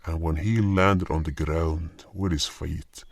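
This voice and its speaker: Slow, low-voiced male storyteller. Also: steady tone, gravelly, no echo, studio quality. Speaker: narrator. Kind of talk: scripted first-person fantasy narration.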